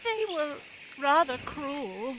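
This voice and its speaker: A woman's voice: high-pitched, very animated, takes it slowly, noisy background, full character voice. An actress playing a vain, affected lady opera singer in a video game, grand and fluttery. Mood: wounded, bashful. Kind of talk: dramatic video game acting.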